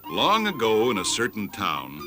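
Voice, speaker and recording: A man narrating children's fables as a storybook narrator, with an expressive, measured delivery. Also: fairly low pitch, music in the background. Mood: storytelling.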